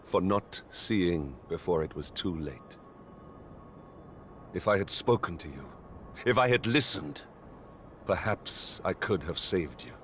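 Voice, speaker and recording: Deep, solemn noble knight. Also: male voice, animated, a little hiss or hum, exaggerated voice. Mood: regretful. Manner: formal, earnest, measured. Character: noble, loyal, sorrowful male knight. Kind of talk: dramatic video game voice acting. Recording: loud music over the voice.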